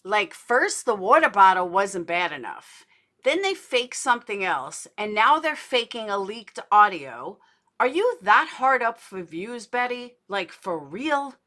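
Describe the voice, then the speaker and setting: Woman, bright forceful voice, mid pitch, indignant and blunt, casual true crime livestream host. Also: very animated, a little slow.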